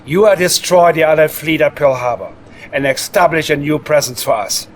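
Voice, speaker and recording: An actor playing a coldly calm, scheming Soviet psychic advisor in a video game cutscene, with a Russian accent and measured, condescending menace. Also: male voice, fairly high pitch, slight background noise, lightly acted. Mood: cool, sly.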